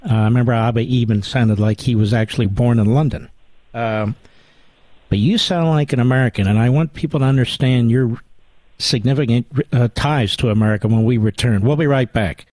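A man's voice: mid-range voice, fairly quick, darkish tone, no room sound. A middle-aged man hosting a talk radio show, speaking emphatically, running an interview and reading ads. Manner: emphatic, salesman-like. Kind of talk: interview and ad read.

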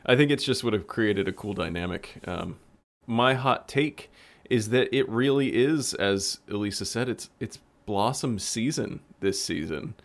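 A man's voice: lowish voice, animated, measured pace. A man hosting a casual roundtable conversation about a show he works on. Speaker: podcast host and show creator.

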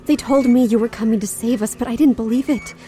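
Woman acting a mellow, coaxing sci-fi fiancée. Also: on the high side, fast, faint music behind, natural voice. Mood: tender, coaxing. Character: tender, assured female space colonist, the traveler's fiancée. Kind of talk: audio drama acting.

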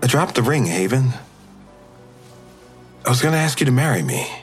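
A man playing an injured, tender boyfriend in an audio drama, earnest and a little halting. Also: mid-range voice, big pitch swings, faint music behind, lightly acted. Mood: confessional, nervous.

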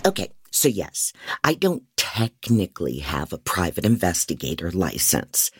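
A man's voice: deep voice, sing-song, measured pace, slightly rough, no room sound, studio quality, exaggerated voice. A man playing a frantic, disorganized private investigator who narrates an audio drama in a rambling, wry, self-doubting way. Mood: defensive. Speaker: narrator and lead actor. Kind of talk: first-person dramatic audio fiction narration.

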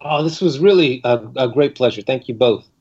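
Husky male guest, muffled recording. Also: medium pitch, animated, moderate pace, a little mellow. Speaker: guest author. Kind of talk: casual interview chat.

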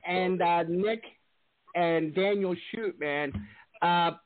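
Guy interviewing a band, a bit high-voiced, restarts his questions a lot, tinny phone-like sound. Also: slow. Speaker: interview host. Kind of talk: casual interview.

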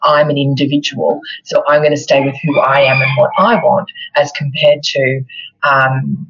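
A woman's voice: rumbling low, steady tone, moderate pace, a bit bright. A woman with an Australian accent, talking casually and reflectively as co-host of an astrology show. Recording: dull, lo-fi microphone sound.

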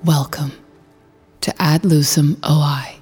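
An actress playing a poised female tech founder who delivers a soothing, deliberate, measured company pitch in a fiction podcast. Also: steady tone, loud music behind the voice. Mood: assured, inviting. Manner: deliberate, measured phrasing with pauses. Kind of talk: scripted promotional speech in a fiction podcast.

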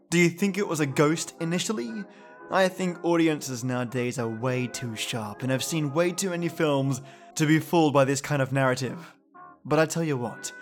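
A man hosts a podcast in a casual, matey way. His voice is a bit higher than average, and soft music plays behind his commentary. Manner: chummy, addresses listeners as mates. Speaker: podcast host.

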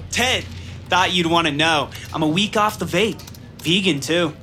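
An actor playing a fawning, slacker-cool office favorite in a comedic audio drama, casual and sycophantic. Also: male voice, fairly high pitch, takes it slowly, music in the background. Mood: breezy, flattering.

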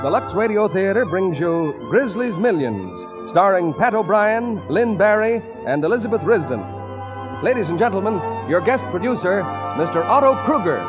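A man's voice: sits high, sing-song, normal speed, muffled recording. A man announcing a 1940s radio show in a grand, declamatory manner. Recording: vintage radio, loud brass music behind.

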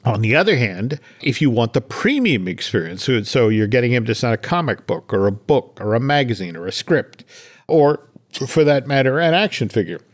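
A man's voice: medium pitch, big pitch swings, normal speed. A man hosting a podcast, talking in a casual, chatty way about entertainment news, often repeating words for emphasis. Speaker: podcast host.

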